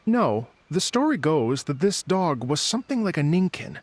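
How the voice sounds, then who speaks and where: A man voicing a thoughtful, polite musician in a video game, speaking in a measured, courteous, gently hesitant way. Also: a little high, big pitch swings. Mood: reflective. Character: thoughtful, polite male musician, calm storyteller.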